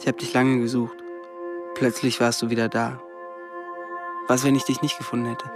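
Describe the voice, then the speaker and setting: A man's voice: mid-range voice, level tone, normal speed, over music, natural voice. An actor playing an earnest, devoted and protective boy in a radio play, speaking tenderly. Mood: concerned.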